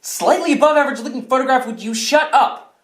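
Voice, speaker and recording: A man's voice: fairly high pitch, animated, moderate pace. A man acting in a comedy skit as a self-centred, whiny, melodramatic character, exasperated and prone to outbursts, in a roomy space. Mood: indignant. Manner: exasperated, mock-earnest, bursts into shouting. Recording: roomy, echoing indoor sound.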